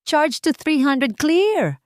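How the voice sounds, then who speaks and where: A woman narrator voicing an urgent, commanding female emergency doctor in an audio drama, shouting clipped orders. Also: high-pitched, sing-song, slow pace, no echo, clean studio sound, pitched above the performer's own voice, full character voice.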